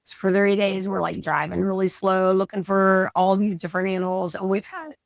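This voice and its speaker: Woman with a cold, chatting excitedly. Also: mid-range voice, fairly quick, no echo, studio quality. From United States. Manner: excited storytelling. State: fighting a cold, losing her voice. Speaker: guest. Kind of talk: casual chat.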